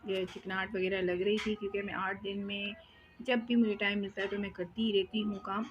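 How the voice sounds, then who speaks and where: A woman's voice: mid-range voice, flat delivery, brisk, muffled recording, slight background noise. A young woman chatting casually as she vlogs her housework at home, rambling with many fillers. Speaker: vlogger. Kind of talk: casual home vlog narration.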